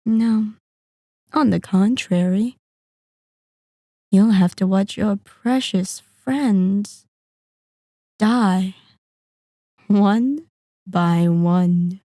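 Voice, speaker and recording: Woman playing a slow, silky, sadistic ancient vampire. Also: mid-range voice, dark tone, dry recording, studio quality. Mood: cold threat. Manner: slow, deliberate, taunting, commanding. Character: centuries-old sadistic, possessive female vampire, silkily menacing. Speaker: audio roleplay performer. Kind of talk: intimate ASMR roleplay acting.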